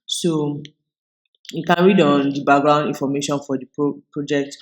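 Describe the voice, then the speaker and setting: A man from Nigeria with a Nigerian English accent, hosting a tutorial video and explaining each step in a relaxed way, with frequent restarts. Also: on the high side, normal speed. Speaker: video host. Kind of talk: screen-recorded tutorial walkthrough.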